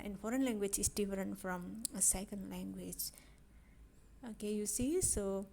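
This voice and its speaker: A woman lecturer with an Indonesian accent talks slowly and patiently through her lesson. Her voice is mellow and soft-edged, and her pitch sits in a middle range. She keeps checking in with her listeners through repeated "yeah"s and rhetorical questions. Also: a little hiss or hum. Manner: unhurried, repeats points, asks rhetorical questions with frequent "yeah". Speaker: language lecturer. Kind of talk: recorded online lecture. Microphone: screen recording on a phone.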